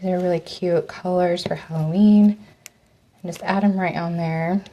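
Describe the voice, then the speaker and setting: A woman with a cold and a slightly raspy voice, chatting through a live step-by-step craft demo, her voice dropping off as she leans away from the mic to work. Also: on the low side, fairly even intonation, normal speed, dark tone, lo-fi sound. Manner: chatty, step-by-step instructions with fillers. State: hoarse from a cold. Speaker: craft host.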